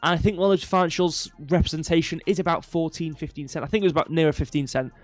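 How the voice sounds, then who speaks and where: A man's voice: fairly high pitch, steady tone, fast, no echo. A male livestream host speaks casually into a close, dry microphone with faint music behind him. His voice is a little stuffy and nasal. He rambles through market commentary, drifting into self-deprecating asides. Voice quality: slightly nasal, stuffy nose.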